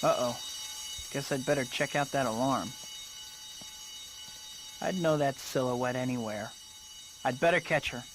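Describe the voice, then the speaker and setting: A man's voice: mid-range voice, a little slow, pauses often, background noise, natural voice. A man playing an earnest, wisecracking young student superhero in a video game. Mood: alert. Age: young adult.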